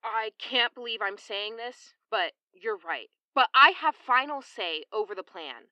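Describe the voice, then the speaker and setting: A woman's voice: on the high side, lively intonation, no room sound. A woman playing a firm commander on comms. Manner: brisk, firm. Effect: radio comms. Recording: dull lo-fi comm line.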